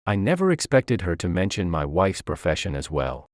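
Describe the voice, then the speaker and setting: A man's voice: sits low, very animated, normal speed, no echo, clean studio sound. A man reading a scripted first-person story as its narrator.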